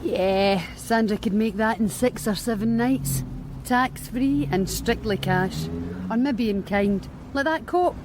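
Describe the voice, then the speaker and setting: An actress playing a blunt, streetwise young street worker with a Scottish accent in a radio drama, wry and matter-of-fact. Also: female voice, on the high side, slow pace, noisy background. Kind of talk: BBC radio drama acting.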